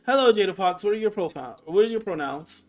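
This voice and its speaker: A man's voice: high-pitched, animated, fast. A man in his twenties hosting a live voice chat, talking in a casual, confrontational way with plenty of slang and swearing. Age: 20s. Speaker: host of an online live voice chat. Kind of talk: casual argumentative live conversation.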